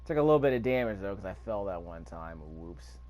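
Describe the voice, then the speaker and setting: A man's voice: on the low side, expressive, brisk, darkish tone. A man giving casual gameplay commentary, thinking aloud with mild grumbling and rhetorical questions. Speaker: video game player narrating a playthrough.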